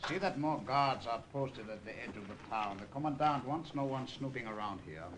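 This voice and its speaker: An actor playing a commanding German army officer, heard quietly from a distance in an old-time radio drama. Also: male voice, medium pitch, fairly quick, close to an everyday voice.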